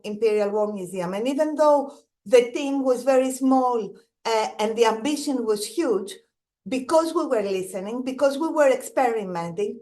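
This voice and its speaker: A middle-aged woman with a Greek accent, giving an earnest, reflective presentation over slides and often restarting her phrases. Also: fairly high pitch. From Greece. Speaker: guest speaker, museum director.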